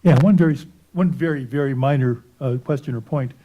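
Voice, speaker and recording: A man's voice: medium pitch, brisk. A man on a county board tentatively raising a small point at a hearing, heard on a dull lo-fi recording. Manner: tentative, hedging. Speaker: board member. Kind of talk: questioning at a public hearing. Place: county board meeting room. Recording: dull lo-fi sound.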